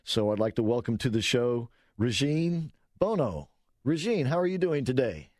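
A man hosts an interview show in a relaxed, unhurried way, easing into long questions with plenty of "uh"s. His voice sits at a middle pitch, recorded close and dry with no room sound. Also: no echo. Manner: relaxed, rambling questions with many "uh"s. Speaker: radio show host. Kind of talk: interview hosting.

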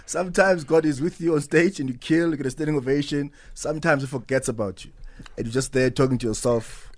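A man with a South African accent, a comedian guest telling stories in a relaxed chat in a radio studio. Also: fairly high pitch, fast talker. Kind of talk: casual interview chat. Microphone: studio microphone.

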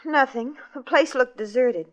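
An actress playing a sharp-tongued young woman assistant in a 1940s radio drama, answering back in a tart, sarcastic way. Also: high-pitched, unhurried, dull audio.

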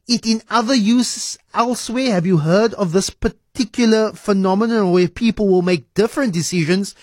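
A man's voice: high-pitched, normal speed, a little mellow, no echo. South African man hosting a radio interview. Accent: South African English. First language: Afrikaans. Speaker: radio host.

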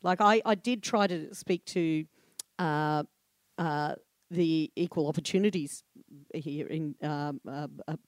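An older Australian woman speaks candidly and chattily, full of fillers, restarts and easy laughter. Her voice sits on the low side and sounds dry and close. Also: slow pace, no echo. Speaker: comedy room programmer, guest. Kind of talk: live podcast conversation.

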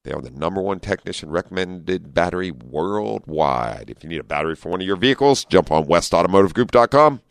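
A man with a deep voice hosting a call-in car radio show, talking in a friendly, chatty way with lots of fillers. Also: sing-song, brisk, vocal fry, few pauses, no echo. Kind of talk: casual talk radio hosting. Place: radio studio. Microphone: studio microphone.

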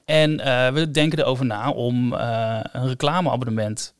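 A man co-hosting a podcast in casual chat, with hesitant, halting phrasing. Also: medium pitch, fairly even intonation, clean tone, no room sound, studio quality. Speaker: podcast co-host.

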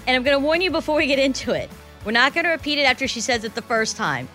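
Female morning radio host, upbeat, bright and sharp tone. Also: high voice, big pitch swings, quick pace, faint music behind. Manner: bubbly and enthusiastic, cheering callers.

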